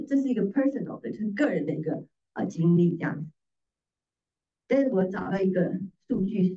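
A woman's voice: mid-range voice, a little mellow. A middle-aged woman preacher giving a teaching sermon, repeating phrases and posing rhetorical questions. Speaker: preacher, pastor's wife. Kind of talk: sermon teaching in Mandarin with slides. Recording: distant mic, slightly muffled sound.

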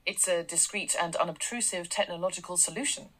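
A slick, pushy tech mogul. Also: female voice, on the low side, fairly even intonation, fairly quick, a bit bright, low-quality recording. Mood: assertive, slick. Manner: slick, assertive, salesy. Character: brash, confident woman tech entrepreneur. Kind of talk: radio drama acting.

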